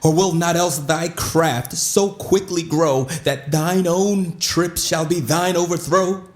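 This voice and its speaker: An actor playing a proud, lovesick duke in Shakespearean audio drama, speaking verse in a measured, wounded, theatrical way. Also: male voice, a little high, fairly even intonation. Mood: bitter, menacing.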